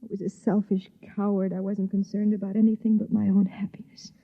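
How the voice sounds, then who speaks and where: Actress as vulnerable young wife, intimate and emotional vintage radio drama delivery, thin phone-like recording. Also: female voice, mid-range voice, flat delivery, a little fast, close to an everyday voice. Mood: remorseful. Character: frightened, remorseful young wife narrating her own ordeal. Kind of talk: 1950s radio drama acting. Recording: tinny 1950s radio recording, music behind.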